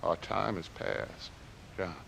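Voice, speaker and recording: Aging American outlaw boss, slow delivery, fatalistic, philosophical, faint background noise, western game cutscene. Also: male voice, deep voice, big pitch swings, gravelly, a bit bright, exaggerated voice, poor audio. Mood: resigned, fatalistic. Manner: slow, ruminative, speechifying. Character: aging male outlaw gang leader, weary, philosophical, fatalistic. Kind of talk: dramatic video game cutscene acting.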